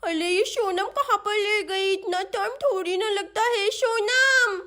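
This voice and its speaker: A woman's voice: very high-pitched, unhurried, sharp tone, pitched above the performer's own voice, full character voice. A woman voicing an eager, trusting little village girl in a story, chatty and easily flustered.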